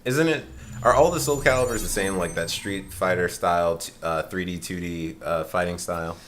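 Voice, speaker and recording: A man's voice: low voice, normal speed, a bit bright, a little hiss or hum. A man giving casual, chatty commentary as he guides a friend through a game, with game music behind. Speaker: let's play co-commentator guiding the game.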